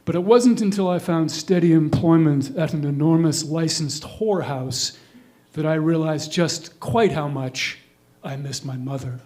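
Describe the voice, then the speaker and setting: A middle-aged man performing a scripted comic monologue in ornate wording, with deliberate, deadpan delivery. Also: on the high side.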